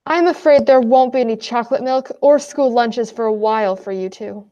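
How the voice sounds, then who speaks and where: Earnest female scientist played by a teenage girl, patient and explanatory, slightly high voice, radio drama acting. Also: normal speed, mellow, muffled recording, natural voice. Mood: grave. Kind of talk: school radio play acting.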